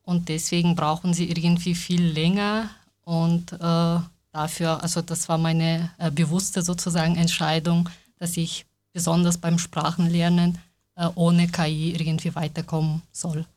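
A woman's voice: lowish voice, flat delivery, a little slow, a little mellow. A young adult woman, a non-native speaker of German with a foreign accent, giving a personal account as a guest. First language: not German. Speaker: panel guest.

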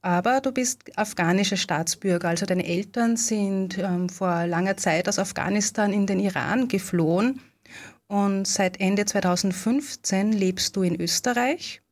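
A woman hosting a podcast, introducing the episode and asking clear, structured questions. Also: mid-range voice, measured pace. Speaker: podcast host. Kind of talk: interview, scripted intro.

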